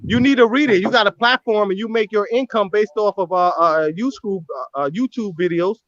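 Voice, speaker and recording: A man's voice: sits high, brisk, a bit bright, dry recording, lo-fi sound. A man arguing defensively and rambling, with lots of "you know what I'm saying", on a call. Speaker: guest in a live stream. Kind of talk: heated, defensive argument.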